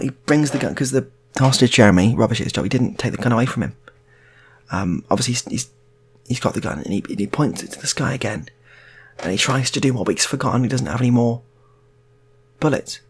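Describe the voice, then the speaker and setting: A British man telling an improvised comic story in a casual, rambling, halting way, often repeating phrases. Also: mid-range voice, slightly rough.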